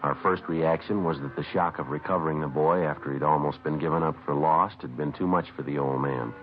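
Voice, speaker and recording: An actor playing a businesslike police sergeant, narrating a 1950s radio crime drama in a clipped, understated, matter-of-fact way. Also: male voice, a bass voice, fairly quick, slight background noise. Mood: flat, matter-of-fact. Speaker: detective narrator. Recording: dull vintage radio recording.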